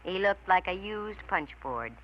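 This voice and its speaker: A woman's voice: lowish voice, animated, measured pace. An American woman playing a wisecracking, hard-boiled female private eye in a vintage radio noir drama. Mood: wry. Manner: sardonic, slangy wisecracks. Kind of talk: 1940s-50s radio noir acting and narration. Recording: noisy, dull vintage radio recording.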